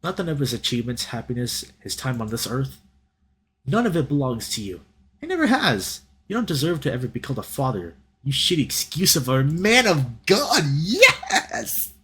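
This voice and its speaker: A man's voice: medium pitch, big pitch swings, normal speed, pushed and bright, slight background noise, pitched above the performer's own voice. A man voicing a scrappy young male fox in a visual novel, fiery and loyal, speaking in an impassioned, theatrical way. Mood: righteous fury.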